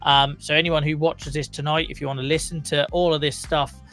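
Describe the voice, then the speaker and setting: A young man with a croaky voice hosting a live chronic pain talk show, chatting casually to his viewers with many fillers and laughs. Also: fairly high pitch, level tone, brisk, bright, forceful tone, soft background music.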